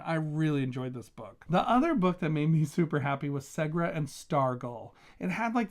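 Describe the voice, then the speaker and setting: A man's voice: on the high side, a little mellow. A man talking casually as a vlogging reviewer, gushing with emphatic, enthusiastic delivery. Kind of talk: casual chatty book review vlog.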